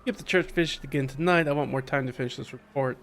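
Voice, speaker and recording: A man's voice: mid-range voice, fast talker, fairly bright tone, slight background noise. A man doing live playthrough commentary on a visual novel, reading dialogue aloud and reacting in an informal, slangy, wry way. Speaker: streamer playing a visual novel. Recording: faint background music.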